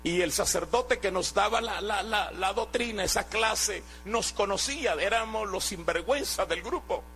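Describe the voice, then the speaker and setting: A man's voice: sits high, normal speed, sharp tone, a little hiss or hum. A man from Guatemala, giving an earnest sermon testimony and often restarting his words. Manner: earnest, exhorting, with hesitant restarts.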